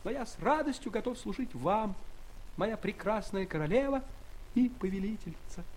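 An actor playing a tiny, proud and eloquent human traveller with a florid, earnest, courtly manner in a children's audio play. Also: male voice, high voice, expressive, moderate pace, a little mellow, a little hiss or hum. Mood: indignant pride.

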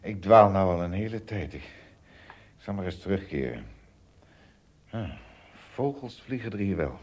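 An actor playing an excitable shipwrecked English seafarer in a radio play, husky and exclamatory, stammering when agitated. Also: male voice, deep voice, moderate pace. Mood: musing.

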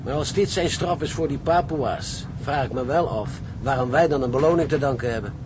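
An actor playing a blunt, questioning ship's engineer in a radio drama, speaking emphatically. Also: male voice, fairly quick, natural voice. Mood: sober, blunt. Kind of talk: Dutch radio drama acting. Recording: rumbling engine noise behind.

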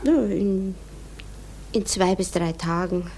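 An actress playing a wistful, tender woman in a radio drama, speaking gently and reflectively. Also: on the low side, slow, a little hiss or hum. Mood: gently reassuring. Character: wistful, tender, longing woman lost in thought. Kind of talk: 1960s German radio drama acting.

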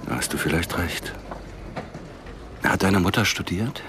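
An actor playing a protective American man who questions gently and informally in a radio drama. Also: low-pitched, unhurried, background noise. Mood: probing, friendly. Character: protective, probing American man named Tom.

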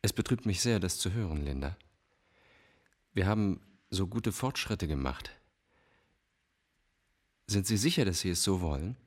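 Low-voiced, suave manipulative psychiatrist. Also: male voice, lively intonation. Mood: smooth, controlled. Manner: measured, courteous, controlled. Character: suave, manipulative villainous male psychiatrist doctor. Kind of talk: radio crime drama acting.

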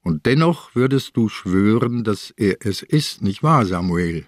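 Slow, low-voiced old inspector. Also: male voice, animated, no echo, clean studio sound. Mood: probing. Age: older. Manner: slow, deliberate, insistent. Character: old, sick, stubborn male police inspector. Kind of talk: German radio crime drama acting.

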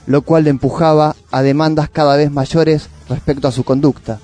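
A man with a Rioplatense Argentine accent reads literary prose as a radio presenter. His voice is soft-edged and mellow, and his delivery is reflective and poetic. Light music plays underneath. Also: fairly high pitch. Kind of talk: literary reading and monologue for a radio show.

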